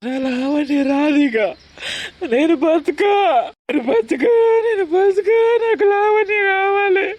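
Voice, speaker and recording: A man's voice: very high-pitched, a little slow, a little mellow, no echo. An actor playing a heartbroken young man, sobbing and begging. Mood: wailing. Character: lovesick young man, desperate and weepy. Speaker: heartbroken son pleading for his love. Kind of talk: village drama acting.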